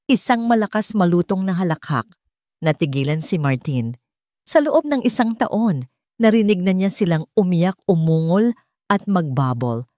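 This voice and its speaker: A woman's voice: on the low side, very animated, moderate pace, dark tone, dry recording, clean studio sound. A woman with a Filipino accent reading a scripted, dramatic audio story as its narrator.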